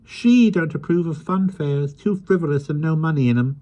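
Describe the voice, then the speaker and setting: A man's voice: on the high side, normal speed, mellow, voice pushed up, natural voice. A man reading a storybook aloud, voicing a cheerful, chatty little carpenter with quaint old-fashioned sayings. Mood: rueful.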